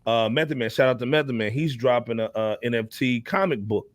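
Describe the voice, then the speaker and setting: A man's voice: medium pitch, fairly quick, no room sound. Casual American man, dry close sound. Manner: slangy, conversational. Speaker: podcast host. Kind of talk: casual hip hop chat.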